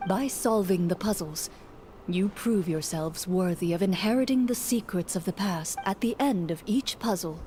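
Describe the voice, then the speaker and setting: A woman voicing a composed young game master in a game, narrating a tabletop story in a calm, measured storybook way. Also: lowish voice, close to an everyday voice. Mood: steady. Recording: game audio with music behind.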